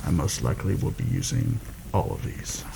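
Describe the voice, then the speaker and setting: A man playing a seasoned fantasy assassin in a soft-spoken ASMR roleplay, measured and deliberate, wary and proud. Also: low voice, sing-song, creaky, heavy background noise, heavily acted. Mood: focused, businesslike.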